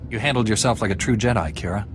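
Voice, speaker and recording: Actor as a deep, firm knight. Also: male voice, noisy background, lightly acted. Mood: approving. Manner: firm, measured. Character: calm, principled male Jedi knight hero. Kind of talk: video game voice acting. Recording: music playing behind.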